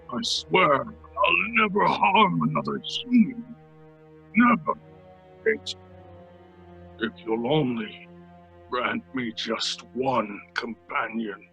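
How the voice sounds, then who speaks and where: An actor playing a man-made monster in a radio horror drama, desperate and menacing, with a raspy voice and halting, drawn-out delivery. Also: mid-range voice, very animated, sharp tone, highs cut off, soft background music, heavily acted. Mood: desperate pleading. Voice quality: gravelly, raspy. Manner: halting, drawn-out, swooping between whispers and cries. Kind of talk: old-time radio horror acting.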